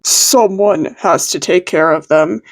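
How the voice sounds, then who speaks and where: A woman's voice: fairly low pitch, takes it slowly, voice pushed down. A woman voicing a terse, dismissive adult male mentor in a story reading. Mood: resigned.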